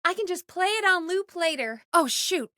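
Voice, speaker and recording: A woman playing an earnest, wry radio show producer in a video game, speaking in an expressive, conversational way that is supportive but easily exasperated. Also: extremely high pitch, normal speed, dry recording, studio quality, full character voice. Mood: warm, relieved. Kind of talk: naturalistic video game dialogue.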